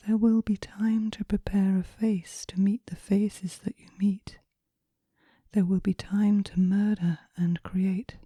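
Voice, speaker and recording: A woman reads poetry for relaxation in a soft, breathy whisper, with a gentle, measured, hushed delivery in ASMR style. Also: mid-range voice, no room sound, studio quality. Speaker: poetry reader.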